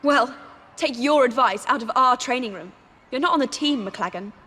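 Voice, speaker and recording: A feisty schoolgirl athlete, high and forceful with a husky edge. She echoes in a hall with faint music, in video game style. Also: moderate pace. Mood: defiant. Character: feisty, sporty teenage schoolgirl. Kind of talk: video game character acting.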